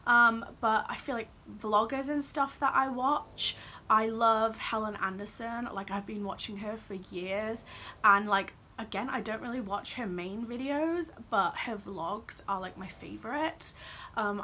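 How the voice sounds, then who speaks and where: A woman's voice: a little high, bright, forceful tone, a little hiss or hum. A woman, chatting casually and ramblingly to camera as a vlogger, saying "like" often. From Wales and Australia. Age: 20s. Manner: rambling, informal, heavy use of "like".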